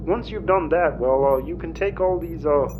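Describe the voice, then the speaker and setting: An actor playing an awkward, evasive, hesitant stranger who stammers, in a sci-fi audio comedy. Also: male voice, a little high, normal speed, background noise, natural voice. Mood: nervous, evasive. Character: awkward, evasive stranger offering a suspicious job.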